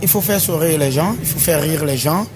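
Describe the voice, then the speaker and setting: A man, a singer, giving a relaxed, informal interview at a nightclub after his show. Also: on the high side, brisk, background noise. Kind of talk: casual interview at a concert venue. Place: nightclub at a concert. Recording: music behind voice.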